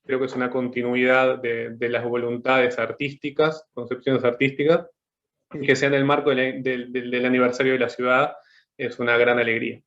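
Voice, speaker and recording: A man, an artist speaking on a panel over a video call, thoughtful and halting as he searches for words. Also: mid-range voice, flat delivery, fairly bright tone, lo-fi sound. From Uruguay. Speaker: artist guest. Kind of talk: panel discussion.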